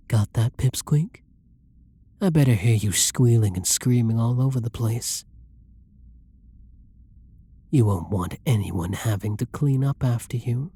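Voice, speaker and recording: Actor doing a dark gravelly villain, flirty and threatening, takes their time, whispery asmr roleplay. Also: medium pitch, exaggerated voice. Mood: menacing, teasing. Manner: slow, teasing, domineering, often dropping into whispers. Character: flirty, menacing villain holding a hostage, possessive and teasing. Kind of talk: roleplay ASMR audio drama monologue.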